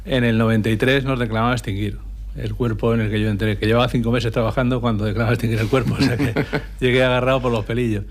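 A man from Spain, a former lighthouse keeper, answering radio interview questions in a relaxed, hesitant way. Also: medium pitch, fast talker, a little hiss or hum. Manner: relaxed, anecdotal, many hesitations. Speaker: guest, retired lighthouse keeper.

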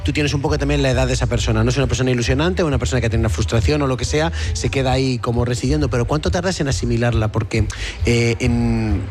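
A man's voice: mid-range voice, fast, a little mellow. A man with an accent from Spain hosting a casual live radio interview, stammering and repeating words as he rambles through his questions. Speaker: radio host. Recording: music bed behind the voice. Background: clear music.

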